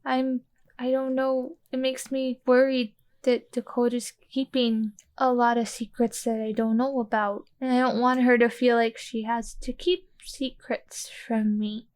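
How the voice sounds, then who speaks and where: A woman's voice: on the high side, little pitch movement, measured pace, a little mellow, natural voice. A woman voicing an earnest young girl who asks worried, caring questions.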